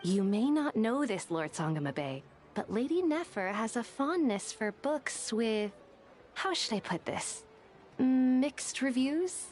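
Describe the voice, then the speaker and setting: A woman's voice: fairly high pitch, sing-song, takes it slowly, a little mellow, soft background music. A woman voicing a tactful, bookish young female scholar in a video game, wry, careful and a little shy. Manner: careful, wry, slightly hesitant.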